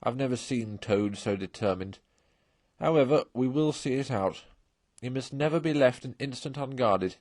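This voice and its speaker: A man's voice: medium pitch, animated, moderate pace, darkish tone, dry recording, natural voice. Man as a stern, solemn badger. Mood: weary, resolute. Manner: solemn, firm, moralizing. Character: stern, earnest male badger, authoritative elder friend.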